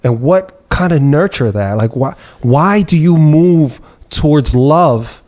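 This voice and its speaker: A man's voice: on the high side, expressive, slow, darkish tone. A man hosting a podcast, reflective and asking probing questions in casual conversation. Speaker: podcast host.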